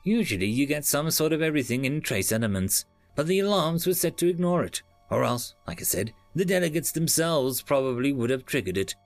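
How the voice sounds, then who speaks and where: A man's voice: on the high side, lively intonation, fairly quick, hardly any pauses, no echo, soft background music. A man voicing a nervous young male technician who explains things earnestly.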